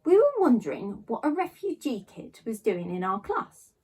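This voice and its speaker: A woman reading a children's novel aloud, narrating in a child's first person with expressive storytelling emphasis. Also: fairly high pitch, normal speed, husky. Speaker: storybook reader.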